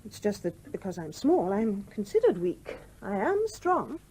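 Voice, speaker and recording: An actress playing a small, genteel, determined English widow in a radio play, speaking in a polite, proper manner. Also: female voice, mid-range voice, big pitch swings, measured pace, dark tone, breathy edge. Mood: warm, insistent. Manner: polite, well-spoken, proper. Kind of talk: 1970s radio play acting. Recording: noisy old radio drama recording.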